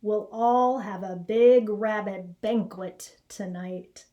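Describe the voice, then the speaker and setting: A woman's voice: mid-range voice, takes it slowly, dark tone, lo-fi sound, natural voice. A woman voicing a greedy, scheming owl in a storybook, in an emphatic plotting manner.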